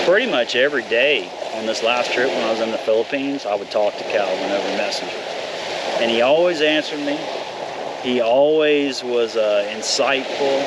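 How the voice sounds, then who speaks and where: A man's voice: mid-range voice, heavy background noise. A man in his fifties talking in a casual, rambling vlog, candid and a little profane. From United States. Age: 50s. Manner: candid, rambling, mild swearing. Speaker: vlogger.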